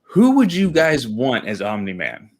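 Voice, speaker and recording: A man's voice: mid-range voice, huge pitch swings, measured pace. A man co-hosting a casual podcast, enthusiastic, explaining his views and putting questions to the others. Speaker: podcast co-host.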